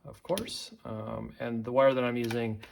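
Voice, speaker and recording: A man giving an unscripted hands-on tutorial, talking haltingly as he thinks aloud while working. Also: medium pitch, steady tone, creaky, slight background noise. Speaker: tutorial presenter.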